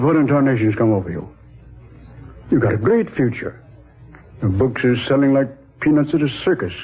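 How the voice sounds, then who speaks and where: A man's voice: medium pitch, breathy edge. An actor playing a blustery, folksy, exasperated male boss in sputtering 1940s radio style. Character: blustery, incredulous male boss at a publishing business. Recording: muffled band-limited 1940s radio recording.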